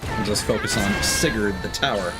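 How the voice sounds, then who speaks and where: A man's voice: fairly low pitch, normal speed. A man giving casual, rambling live commentary as he thinks aloud through a video game. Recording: music behind the voice. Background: game music.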